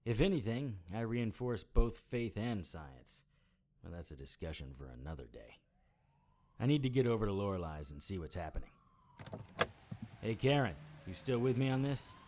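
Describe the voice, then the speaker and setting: An actor playing a wry, world-weary immortal investigator in middle age, with a dry, dramatic delivery in an audio drama. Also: male voice, on the low side, sing-song, normal speed, mellow, a little hiss or hum. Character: wry world-weary immortal male investigator who looks about forty. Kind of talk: audio drama dialogue and first-person noir narration.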